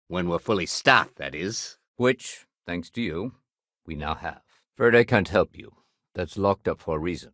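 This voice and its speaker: A man's voice: low-pitched, fairly bright tone, dry recording, studio quality, full character voice. An actor playing a no-nonsense, dutiful male city security sergeant in a video game, with a clipped, official, wry delivery. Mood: wry, appreciative, then dry, firm.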